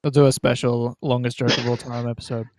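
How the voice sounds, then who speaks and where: A man's voice: medium pitch, level tone, quick pace, noisy background. A man hosting a casual podcast chat. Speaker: podcast host.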